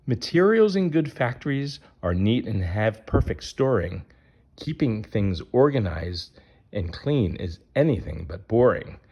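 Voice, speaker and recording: A man's voice: lowish voice, very animated, measured pace, breathy edge, muffled recording. A man reading a rhyming children's picture book aloud as its narrator.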